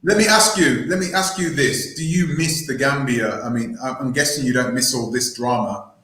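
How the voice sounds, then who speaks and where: A man hosting a live call-in stream, speaking hesitantly with many pauses and "um"s. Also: mid-range voice, a bit bright, echoey room. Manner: hesitant, lots of "um" and restarts.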